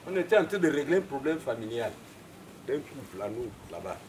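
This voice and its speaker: An older man with a Gabonese accent, an elder whose first language is Ipounou, making a halting formal speech at a customary wedding. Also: mid-range voice, very animated, darkish tone, a little hiss or hum, rough recording. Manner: halting, searching for French words, many fillers.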